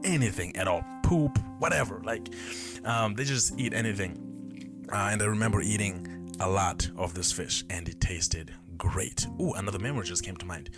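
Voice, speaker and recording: Man in his twenties, low voice. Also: normal speed, music in the background. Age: 20s. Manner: rambling, self-correcting, thinking aloud. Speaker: podcast host. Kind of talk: casual solo storytelling. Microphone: camera mic.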